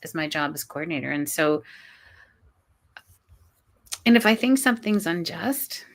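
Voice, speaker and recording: A woman guest talking earnestly in an interview, somewhat low in pitch. She restarts and repeats words often, and her voice sometimes lifts into a laugh. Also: expressive, moderate pace. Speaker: guest, college professor. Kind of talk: conversational interview.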